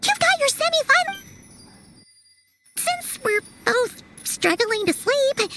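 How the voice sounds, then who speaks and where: A woman voicing a tiny, childlike fairy companion in a video game, perky and eager, with a cutesy squeaky delivery. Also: big pitch swings, slow pace, bright, forceful tone, faint music behind, full character voice. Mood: coaxing, restless.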